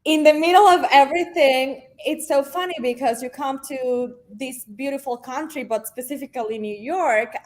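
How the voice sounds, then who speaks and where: A woman in her thirties with a Venezuelan Spanish accent, a native Spanish speaker, talking as a guest in a casual, upbeat interview, with many "honestly" and "um" fillers. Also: high-pitched. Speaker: guest, realtor.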